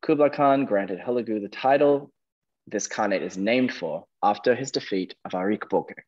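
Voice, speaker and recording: A man's voice: lowish voice, normal speed, a little mellow, dull audio. A man hosting a quiz, reading out questions and giving verdicts on answers. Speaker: quizmaster.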